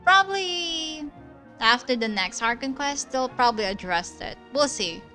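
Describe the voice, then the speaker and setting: A woman's voice: high voice, expressive, measured pace, bright, forceful tone, soft background music. A woman streamer giving casual commentary while playing a story game, chatty and thinking aloud about the plot. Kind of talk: casual commentary over gameplay.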